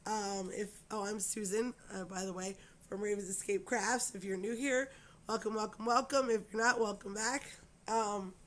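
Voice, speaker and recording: A woman hoarse from a cold, her voice raspy and scratchy. She talks to her viewers at home in a loose, rambling way, full of fillers and apologies. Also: medium pitch. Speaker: crochet channel host. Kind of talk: casual chat to camera.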